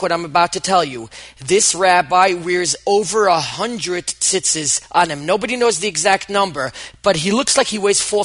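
Man, Yiddish-influenced accent, high voice, animated comic storyteller, guest. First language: Hebrew. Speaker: podcast guest. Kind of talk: casual chat.

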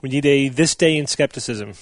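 A man's voice: mid-range voice, a little fast, no echo. A man hosting a talk show, steering the conversation thoughtfully. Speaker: podcast host. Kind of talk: casual chat.

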